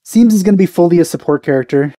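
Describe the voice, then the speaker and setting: A man in his thirties giving casual, low-key reaction commentary as a video host, close on the mic. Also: fast talker, mellow. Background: faint music.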